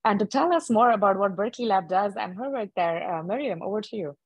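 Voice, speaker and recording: A woman's voice: mid-range voice, lively intonation, fast talker, breathy edge, dry recording, lo-fi sound. A woman hosting an online event, introducing speakers and handing over to them. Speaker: host.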